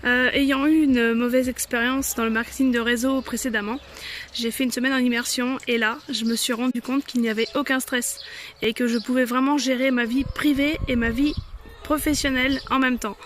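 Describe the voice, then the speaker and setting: A woman in her early thirties giving a quick, persuasive testimonial. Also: high voice, fairly even intonation, a bit bright, slight background noise. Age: 30s.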